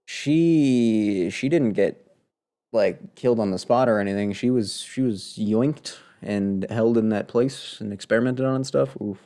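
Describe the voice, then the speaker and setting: A man's voice: on the low side, moderate pace, dark tone. A man giving casual live gameplay commentary as a streamer, in a wry, rambling way. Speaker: game streamer.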